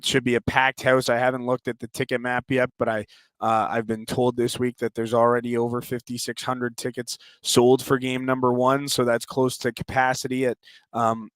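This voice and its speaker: A man's voice: mid-range voice, a bit bright, no echo. A man, a sports announcer talking as an interview guest. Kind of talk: interview talk.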